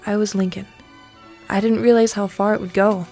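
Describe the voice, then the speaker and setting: Earnest high school girl played by a woman. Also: little pitch movement, warm tone, close to an everyday voice. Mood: guilty, earnest. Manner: halting, confessional. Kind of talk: podcast audio drama acting. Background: music.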